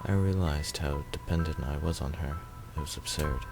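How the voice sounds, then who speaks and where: A man's voice: a bass voice, moderate pace, creaky, over music. A man reading first-person visual novel narration aloud.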